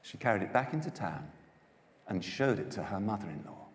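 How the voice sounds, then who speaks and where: A man narrating a dramatised Bible story with expressive storytelling delivery. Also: on the low side, brisk. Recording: echoey hall reverb.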